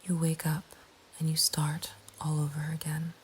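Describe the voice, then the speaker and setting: A woman narrating a scripted horror story in a breathy ASMR whisper, with a hushed, deliberate delivery. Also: sits low, completely flat delivery. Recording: faint ambient background noise. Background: soft nature ambience.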